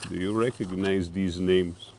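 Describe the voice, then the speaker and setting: An actor playing a menacing, paranoid middle-aged Soviet dictator, theatrical and commanding, in a game cutscene. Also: male voice, low-pitched, steady tone, a little mellow, a little hiss or hum. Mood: brooding, grandiose. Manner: theatrical, slow, deliberate, commanding. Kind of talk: dramatic game cutscene acting.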